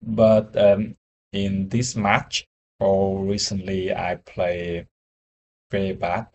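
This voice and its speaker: Slow, hesitant Vietnamese-accented man. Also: low voice, flat delivery, darkish tone, highs cut off, a little hiss or hum. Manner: hesitant, searching for words, restarts often. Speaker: English learner. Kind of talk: conversation practice.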